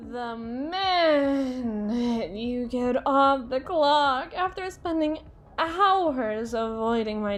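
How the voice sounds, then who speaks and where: Woman acting tipsy haughty boss lady, slow and theatrical. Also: fairly high pitch. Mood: teasing, boozy. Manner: theatrical, drawn-out, playful wordplay, slipping into breathy whispers. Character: tipsy, haughty, melodramatic female boss flirting with her employee. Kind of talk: ASMR roleplay voice acting.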